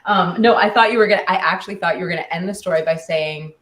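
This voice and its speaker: A woman with a fairly low voice hosts a casual chat, eager and joking with her guest. Her voice carries a noticeable echo from the room. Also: fast, a bit bright. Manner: enthusiastic, joking. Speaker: host. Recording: echoey room.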